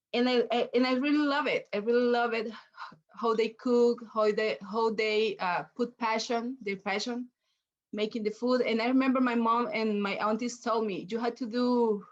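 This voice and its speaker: A woman from El Salvador with a Salvadoran Spanish accent, a guest chef answering interview questions on a video call from a home kitchen, pausing and repeating words as she searches for them in English. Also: medium pitch. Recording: muffled video call audio.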